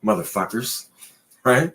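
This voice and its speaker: A man co-hosting a casual late-night chat show, talking in a loose, rambling way and pushing his pitch up and down for emphasis. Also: expressive, unhurried, bright, forceful tone. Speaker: podcast co-host.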